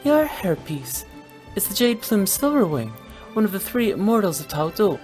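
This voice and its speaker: A woman's voice: mid-range voice, big pitch swings, normal speed, a little mellow, close to an everyday voice. An actress plays a playful young female game character with a mid-range voice. She speaks in a theatrical, teasing, mock-formal way, over faint music. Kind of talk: video game voice acting.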